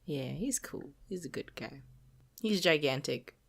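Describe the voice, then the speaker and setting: A woman's voice: sits low, expressive, unhurried, creaky, slightly rough. A woman co-hosting a podcast, chatting casually about games in an informal, giggly way. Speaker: podcast co-host.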